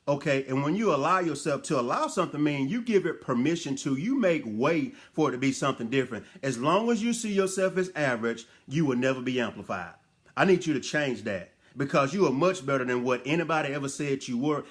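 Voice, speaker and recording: A man with a somewhat high-pitched voice delivers a motivational talk. He exhorts like a preacher, speaking straight to the listener with emphatic repetition and rhetorical questions. His phrasing is colloquial and folksy. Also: a bit bright.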